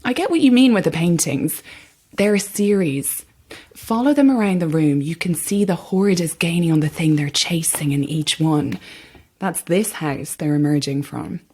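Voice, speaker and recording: A woman playing a brisk, polite castle employee, confiding yet evasive, in a naturalistic audio horror drama. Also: fairly low pitch, dark tone, slightly rough. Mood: polite, guarded. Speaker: actor.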